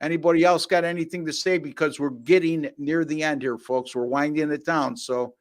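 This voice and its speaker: A man's voice: on the high side, fairly even intonation. A man hosting a live sports betting show, joking and rattling off rankings and stats in casual chat. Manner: joking, rapid-fire stat rundowns.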